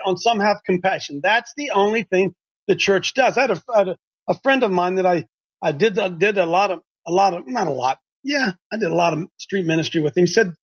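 A fervent man with a high voice presses his points hard, repeating himself like a street preacher. The audio is muffled and lo-fi, and he leads the talk as host.

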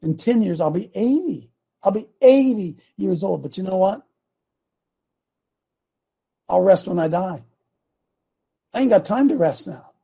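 A man in his sixties preaching a sermon, fervent and repetitive, building to shouts. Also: high-pitched, expressive, unhurried, creaky, long pauses, breathy edge. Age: 60s.

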